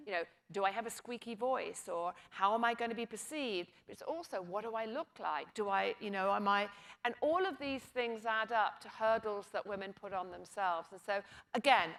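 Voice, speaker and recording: A woman's voice: on the high side, fairly bright tone. A woman speaking on a conference panel, making her case emphatically with rhetorical questions. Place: conference stage.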